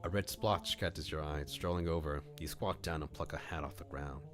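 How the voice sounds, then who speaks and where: A man reading a visual novel aloud on a stream, mixing expressive narration with casual commentary. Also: rumbling low, moderate pace. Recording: music under the voice. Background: background music.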